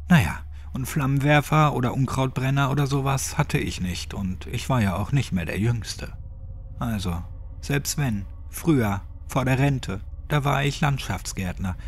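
A man's voice: medium pitch, natural voice. Man voicing a folksy old man, noisy background. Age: elderly. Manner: folksy, chatty, colloquial rambling. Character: quirky old retired gardener, blind, grimly cheerful survivor. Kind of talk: horror audiobook character voice.

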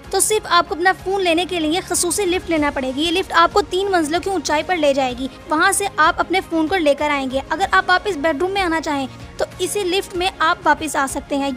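A woman's voice: very high voice, fairly bright tone. A woman, hosting a fact channel and reading scripted narration that addresses viewers as friends. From Pakistan. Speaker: channel host. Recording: voice-over with faint background music.

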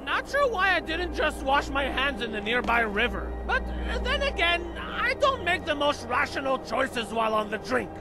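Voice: high voice, sing-song, over loud music, a loud crowd, low-quality recording. An actor voicing a talkative, absent-minded rat creature in a fantasy game, rambling through tall tales and counting as it goes. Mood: rambling, musing. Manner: rambling storyteller, pausing to count numbers. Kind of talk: scripted comic fantasy game storytelling.

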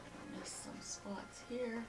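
A soft-spoken woman with a Pittsburgh accent, teaching a step-by-step painting tutorial in her home studio and talking unhurriedly as she works. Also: on the high side, wildly swooping pitch, creaky. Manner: unhurried, murmurs to herself while painting. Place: home art studio, indoors. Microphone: camera mic from behind, far off. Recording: distant camera mic with faint music.

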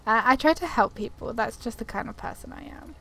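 A woman's voice: a little high, brisk, vocal fry, sharp tone, slight background noise, poor audio. A woman giving casual, chatty commentary on a game, informal and a little apologetic, sometimes reading its text aloud. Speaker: gaming video creator.

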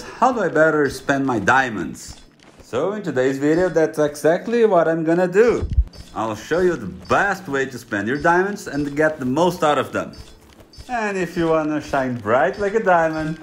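A man's voice: on the high side, slight background noise. A man from Brazil with a Brazilian accent, Portuguese his first language, giving a chatty, self-joking voice-over for a game guide. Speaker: gaming YouTuber.

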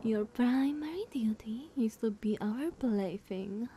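A woman acts out a silky, dominant vampire sister who treats the listener as a pet. Her voice is fairly high yet mellow and breathy, drawn out very slowly, sweetly condescending. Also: a little hiss or hum. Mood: commanding. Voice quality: soft, breathy, often whispered. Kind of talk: ASMR roleplay acting.

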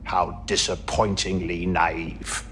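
An actor playing a cynical captive politician in a video game, speaking in a condescending, oratorical way. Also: male voice, low-pitched, level tone, takes it slowly, fairly bright tone, a little hiss or hum. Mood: disdainful. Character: captive politician, cynical smooth-talking collaborator. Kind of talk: dramatic game cutscene acting.

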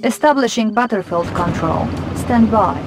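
A woman's voice: medium pitch, animated, normal speed, over loud music, lightly acted. An actress voicing a composed female military announcer in a strategy game, giving clipped, official status reports.

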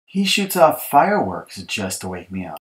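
A man's voice: deep voice, very animated, normal speed, background noise. A man reading a children's picture book as an exasperated young girl complaining about her bratty little brother, emphatic and mock-aggrieved. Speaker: author reading his audiobook.

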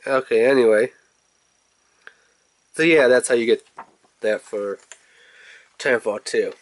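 A man with a stuffy, congested nose talks through a video game unboxing in a loose, rambling way, leaning on fillers like "so" and "you know." His voice sits at an ordinary middle pitch. He is recorded indoors at home on a phone or camera held above a tabletop. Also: measured pace. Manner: rambling, filler-heavy, talks to camera while handling the case. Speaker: gaming channel host. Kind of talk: casual unboxing review.

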